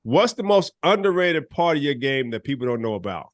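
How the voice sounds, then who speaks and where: Casting: male podcast host, easygoing and teasing, mid pitch, dry close-miked sound. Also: brisk, dry recording. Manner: relaxed, teasing, laughs often. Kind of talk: casual interview chat.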